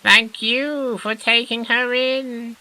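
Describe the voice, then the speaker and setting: A woman acts a deferential talking crow in an audio drama. The voice is high and bright, and the delivery is slow and formal. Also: steady tone, full character voice, rough recording. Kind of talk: audio play acting.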